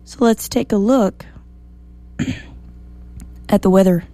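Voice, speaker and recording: A woman hosting a morning radio show, slightly hoarse, chatty and upbeat as she reads the weather. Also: mid-range voice, extremely slow, warm tone. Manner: chatty, upbeat, self-joking. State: a little hoarse.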